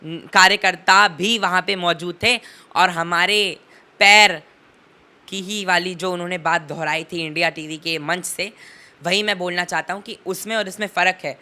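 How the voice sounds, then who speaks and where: A man's voice: high voice, fairly even intonation, moderate pace, bright, forceful tone, clean tone. A teenage young man giving impassioned political commentary in an interview, emphatic and oratorical, leaning on rhetorical repetition.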